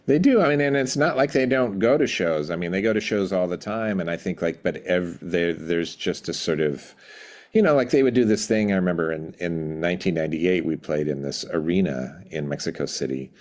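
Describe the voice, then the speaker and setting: A middle-aged man with an extremely low voice speaks as an interview guest. He tells stories reflectively, often pausing to search for the right words. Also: animated, a little mellow. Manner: thoughtful, measured, pausing to find words. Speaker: podcast guest, rock band drummer.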